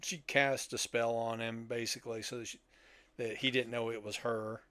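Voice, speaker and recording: A man's voice: mid-range voice, level tone, moderate pace, a bit bright, no echo. An older man co-hosting a podcast, talking casually with friends about old films. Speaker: podcast co-host.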